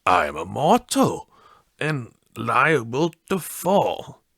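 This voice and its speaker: A man's voice: medium pitch, wildly swooping pitch, slow, vocal fry, fairly bright tone, no room sound, clean studio sound, full character voice. A man voicing an earnest, emotional old man in a dramatised storybook reading. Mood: timid, questioning. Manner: earnest, emotional exclaiming and questioning.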